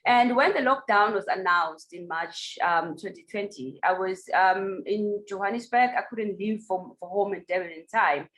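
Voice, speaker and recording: A woman with a South African accent, a documentary director, answering in a reflective way with many pauses and fillers. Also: medium pitch, a bit bright, rough recording. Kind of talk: online festival Q&A.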